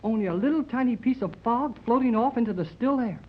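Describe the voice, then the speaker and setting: A man's voice: very high voice, fast talker. An actor playing Jerry, an eager, folksy young man, in a 1930s radio drama. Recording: muffled old radio transcription with loud hiss.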